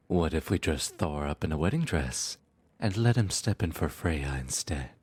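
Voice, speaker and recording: A man acts out a scheming trickster god in a fantasy audio drama. His voice is deep and a touch rough, recorded dry and close, with lively swoops as he teases with unhurried, theatrical politeness. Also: no echo, heavily acted. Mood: sly, persuasive. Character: sly, teasing trickster god, smooth-talking schemer. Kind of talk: audio roleplay acting.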